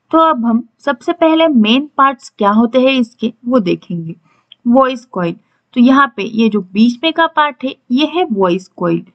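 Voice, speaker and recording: A woman's voice: on the high side, muffled recording. A woman with an Indian accent teaching in an instructional way, reading out points and explaining them step by step. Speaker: electronics teacher. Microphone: voice-over on slides.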